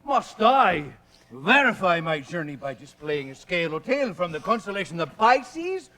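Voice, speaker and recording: An actor playing a witty, swaggering poet who pretends to be a raving space traveler, talking in a flamboyant, theatrical, grandly rambling way. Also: male voice, high voice, sing-song, moderate pace, sharp tone, heavily acted. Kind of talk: stage-style period drama acting.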